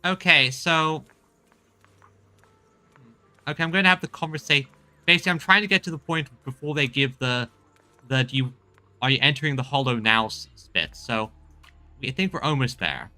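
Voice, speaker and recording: A man's voice: medium pitch, normal speed, long pauses, sharp tone, faint music behind. A man giving casual, informal commentary as he streams a video game. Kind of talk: casual playthrough commentary, reading and riffing on dialogue.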